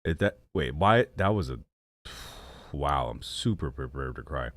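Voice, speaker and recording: A man's voice: measured pace, soft background music. A young man streaming games and chatting casually, rambling and joking at his own expense, with a stuffy nose and hiccups. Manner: rambling, self-mocking, animated with sudden jumps in pitch. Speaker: streamer and gaming channel host. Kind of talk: casual live-stream chat.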